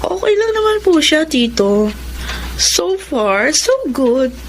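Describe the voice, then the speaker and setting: A woman's voice: high voice, very animated, slow, full character voice. A Filipino woman acting in a radio romance drama as a playful, teasing young student and confidante. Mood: friendly. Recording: faint noise on radio drama track.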